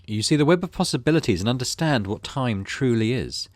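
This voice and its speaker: A man's voice: mid-range voice, a little fast, dry recording. A man hosting a gaming channel, reading game narration aloud expressively and adding casual commentary. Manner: expressive storyteller reading with asides.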